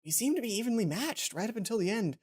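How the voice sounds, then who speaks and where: A woman's voice: a little high, big pitch swings, fast talker. A woman let's play host reading visual novel text aloud with casual asides, sometimes stumbling and correcting herself. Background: game music.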